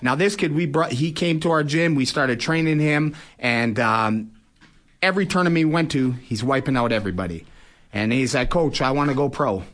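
A man's voice: mid-range voice, moderate pace, a bit bright. A man, speaking plainly and emphatically as a boxing promoter and fighter in a conversational interview.

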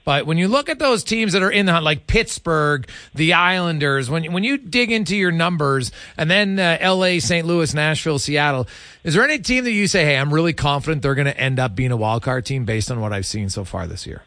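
A man's voice: a little high, animated, a little fast, bright, forceful tone, no room sound. Man hosting sports radio, dry studio sound. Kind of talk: casual interview chat.

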